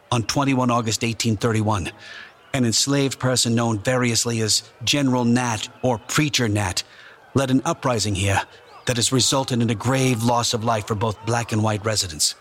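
A man's voice: mid-range voice, natural voice. An actor playing a wary, solemn male abolitionist publisher giving a formal eyewitness report in an audio drama. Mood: wary, grave. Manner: formal, grave, deliberate phrasing.

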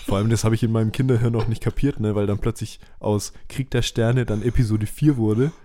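Low, mellow-voiced man chatting casually. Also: fairly even intonation, brisk. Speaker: podcast host. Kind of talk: casual chat.

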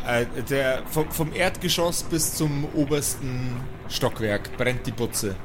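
A man's voice: mid-range voice, slow, background noise. A man narrating an improvised tabletop role-playing game as the game master. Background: background music.

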